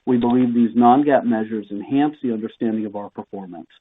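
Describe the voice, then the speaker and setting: A man's voice: medium pitch, brisk. A man reading formal, scripted corporate statements as the moderator of an earnings call over a conference phone line.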